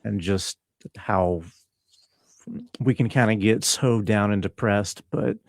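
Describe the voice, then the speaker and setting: Slow-talking man with a low voice. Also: no room sound, studio quality. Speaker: interview guest, composer and musician. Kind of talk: casual conversational interview talk.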